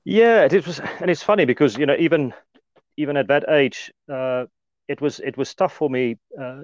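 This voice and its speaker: A man in his thirties from South Africa with an Afrikaans accent, speaking as an interview guest in a relaxed, hesitant way with many fillers. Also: mid-range voice, moderate pace, dry recording, dull audio. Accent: Afrikaans-accented South African English. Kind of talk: casual conversational interview.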